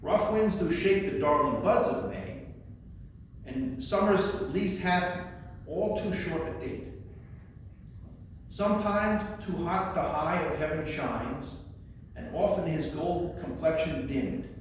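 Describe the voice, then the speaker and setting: A man with a high voice presents and recites in a theatrical, declamatory way. He sounds far from the microphone, in a large room with heavy echo and long reverb. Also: takes it slowly. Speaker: librarian presenting a poetry reading. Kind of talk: spoken presentation and recitation of poems. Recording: very echoey room, distant mic, faint noise.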